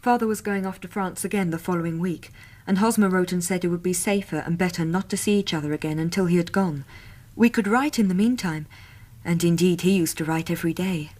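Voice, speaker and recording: A woman's voice: on the low side, fairly quick, darkish tone, close to an everyday voice. An actress playing an earnest, trusting young Victorian lady in a radio drama, speaking politely and properly. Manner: polite, proper, eager to please. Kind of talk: period radio drama acting. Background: music.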